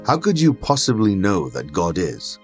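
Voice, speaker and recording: An actor playing a haughty, learned skeptic in an audio drama, formal, condescending and given to lecturing. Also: male voice, sits low, wildly swooping pitch, moderate pace, soft background music, full character voice. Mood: earnest, argumentative. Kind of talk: dramatic audio fiction acting.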